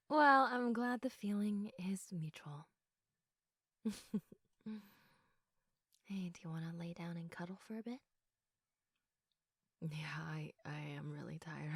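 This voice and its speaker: A woman playing a sleepy, affectionate girlfriend in an intimate sleep-aid roleplay, speaking softly and reassuringly with a tendency toward giggles and whispers. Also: fairly low pitch, no room sound, studio quality. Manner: soft, unhurried, often giggly, dropping into whispers. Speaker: audio roleplay performer.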